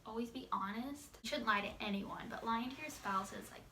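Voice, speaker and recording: A woman's voice: a little high, fairly quick, bright, forceful tone. A woman in her twenties chatting informally as a wife answering questions alongside her husband. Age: 20s. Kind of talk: casual couple Q&A chat. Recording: echoey room, distant mic, background noise.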